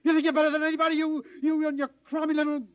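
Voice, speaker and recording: A man's voice: extremely high pitch, completely flat delivery, very fast. An actor in an old radio drama playing an insecure, self-important rebel director who stammers and whines as he blusters. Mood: bitter outburst. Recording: muffled vintage radio recording.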